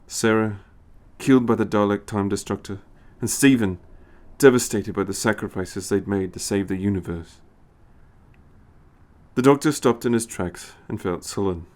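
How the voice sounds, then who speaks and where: Low-voiced man narrating a story. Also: normal speed, darkish tone.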